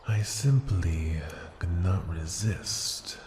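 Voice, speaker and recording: A man playing a proud, ancient dragon in an ASMR fantasy roleplay, speaking formally and deliberately in a gravelly, at times breathy voice. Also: sits low, a little mellow, faint music behind. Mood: impressed, measured. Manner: slow, formal, deliberate, imperious. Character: ancient male dragon, proud, unhurried, intrigued by humans. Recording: cavernous long reverb, faint ambient noise.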